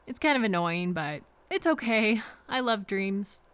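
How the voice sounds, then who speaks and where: A woman playing a kind, apologetic train passenger in an intimate audio roleplay, speaking softly and hesitantly to reassure a frightened stranger. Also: mid-range voice, lively intonation, clean tone, dry recording. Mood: soothing. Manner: gentle, hesitant, reassuring, pausing for replies.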